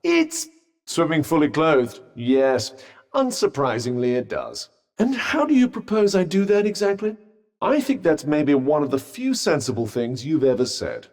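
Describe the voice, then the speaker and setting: A sardonic, condescending British magical armband from a fantasy video game. The voice sits fairly high and swoops expressively through formal, fussily cautious understatement. A long reverb trails every phrase. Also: male voice, big pitch swings, measured pace, darkish tone. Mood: dry, sardonic. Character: sentient magical vambrace, wry, haughty, fussily cautious male companion. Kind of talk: video game character dialogue.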